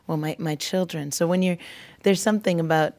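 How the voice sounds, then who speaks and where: A woman interviewer with a notably low pitch and a soft, mellow tone. She builds her questions in long, winding sentences, restarting often and filling gaps with you knows. It sounds like a relaxed, candid sit-down talk. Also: fairly quick. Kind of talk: candid conversation.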